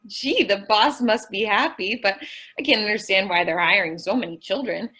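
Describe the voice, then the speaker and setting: A woman's voice: mid-range voice, moderate pace, bright, forceful tone, close to an everyday voice. A woman playing a naive, sweet, scatterbrained young woman in an old-time radio comedy, with an innocent, hesitant delivery. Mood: dreamy, naive.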